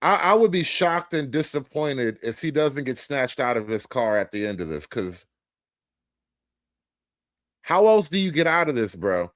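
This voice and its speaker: A man's voice: medium pitch, big pitch swings, unhurried, fairly bright tone, no echo, clean studio sound. A man giving casual reaction commentary on a video, blunt, profane and mocking.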